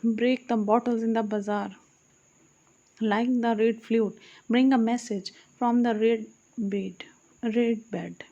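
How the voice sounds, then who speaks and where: Female audiobook narrator, higher-pitched, solemn recital of archaic verse with self-corrections. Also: dark tone, lo-fi sound. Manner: solemn recitation, correcting her own slips. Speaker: audiobook reader. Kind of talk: reading archaic poetry aloud.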